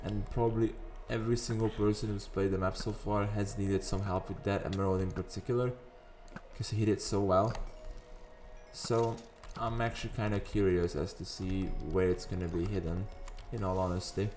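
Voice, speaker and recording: Man with a raspy morning voice. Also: on the low side, flat delivery, normal speed, darkish tone. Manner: chatty, rambling, wanders into sidetracks. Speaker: gaming video host. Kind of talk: casual gameplay commentary. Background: faint background music.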